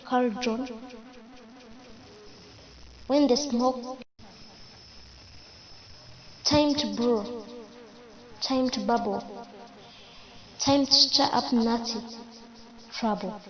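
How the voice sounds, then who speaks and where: A woman reading a children's story aloud slowly and carefully, with small slips, on a muffled recording with crowd noise behind. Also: on the high side. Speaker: storybook reader.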